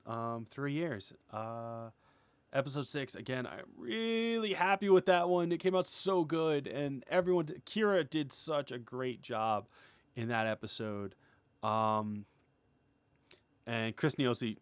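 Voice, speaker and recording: A man giving a casual, unscripted creator update, rambling and excitable, starting and stopping his phrases as he goes. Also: mid-range voice, clean tone, no room sound. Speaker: animator and web series creator giving an update.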